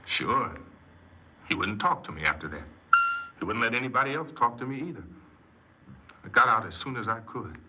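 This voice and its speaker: A man's voice: mid-range voice, animated, moderate pace, long pauses, sharp tone. An actor playing a bitter, downcast young American ex-convict recounting his life plainly in a 1950s radio drama. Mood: glum, resentful. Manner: plain-spoken, clipped, glum. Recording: noisy, muffled 1950s radio recording.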